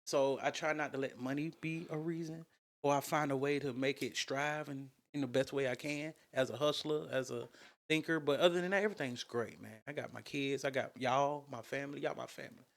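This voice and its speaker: A man's voice: medium pitch, fairly quick, vocal fry. A young man co-hosting a podcast, telling stories in loose, profane slang. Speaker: podcast co-host. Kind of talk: casual chat.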